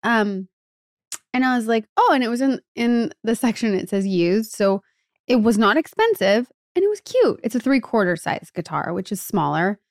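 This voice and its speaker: An American woman with a fairly high voice, recorded very dry. She chats casually as a co-host and spins anecdotes in an animated, conversational way, acting out bits of dialogue and leaning on "like". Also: moderate pace, no room sound. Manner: animated storyteller, peppers talk with "like" and quoted dialogue. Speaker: podcast co-host.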